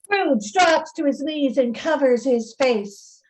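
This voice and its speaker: High-voiced woman narrating a radio play. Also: expressive, slow, poor audio. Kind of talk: scripted dramatic narration.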